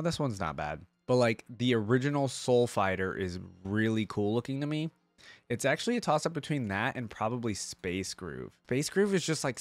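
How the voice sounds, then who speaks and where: A man hosting a casual reaction video, rambling and thinking out loud with enthusiasm. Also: mid-range voice, dry recording. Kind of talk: casual reaction commentary, reading aloud.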